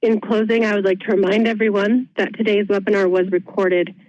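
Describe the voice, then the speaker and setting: A woman reads a formal announcement as webinar host. Her pitch hardly moves. The audio is dry and a little muffled. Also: flat delivery, brisk, a little mellow, dry recording. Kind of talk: scripted closing announcement. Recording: dull, band-limited call audio.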